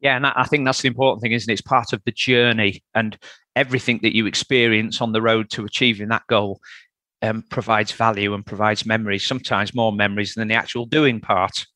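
A man's voice: lowish voice, steady tone, fairly quick, pushed and bright, no echo. A man with a Yorkshire accent hosting a fitness podcast, chatty and friendly, interviewing a guest. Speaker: podcast host.

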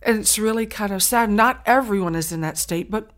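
A woman from Saint Helena Island whose first language is Gullah, telling her story earnestly as an interview guest. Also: mid-range voice. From Saint Helena Island, South Carolina. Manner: earnest, heartfelt, with frequent pauses and restarts.

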